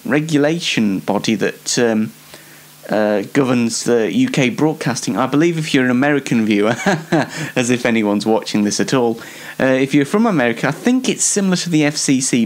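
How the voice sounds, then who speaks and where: A young British man hosting a show on his own, giving a casual, rambling comedic monologue in a self-deprecating way. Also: medium pitch. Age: young adult. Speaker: solo host of an online talk show.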